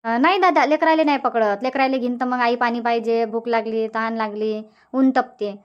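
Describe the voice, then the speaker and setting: Woman playing a high-voiced fretful Varhadi village sister. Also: fairly even intonation, moderate pace, clean tone, muffled recording, natural voice. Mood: agreeable, practical. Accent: Varhadi Marathi of Vidarbha. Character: fretful, regretful young village sister and mother. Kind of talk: dialect cartoon comedy dialogue.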